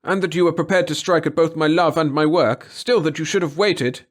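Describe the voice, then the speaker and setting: A man's voice: on the high side, a little fast, voice pushed up, lightly acted. Man acting a commanding, obsessed male scientist, raised voice. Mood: agitated, accusing. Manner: formal, insistent. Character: intense middle-aged male biologist, commanding and obsessed. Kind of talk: dramatic audiobook character reading.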